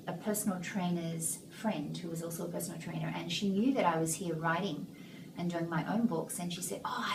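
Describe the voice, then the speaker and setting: An older Australian woman with a slightly low voice speaks gently and thoughtfully as a guest author. She sits away from the mic, so her voice comes across roomy and echoey. Steady noise hangs behind her. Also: distant mic. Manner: gentle, reflective, searching for words. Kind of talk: casual interview chat.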